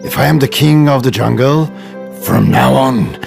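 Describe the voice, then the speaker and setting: A man voicing a grateful, regal lion king in a children's storybook, with a deep, gravelly voice and a solemn delivery. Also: moderate pace, over music.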